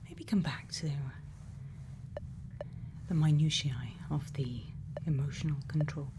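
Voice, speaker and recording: A woman doing an ASMR roleplay as a gentle scientist, speaking in a breathy whisper and soft murmur, slow and deliberate with long pauses. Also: low-pitched, fairly even intonation, noisy background. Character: gentle scientist questioning a robot friend. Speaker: ASMR performer.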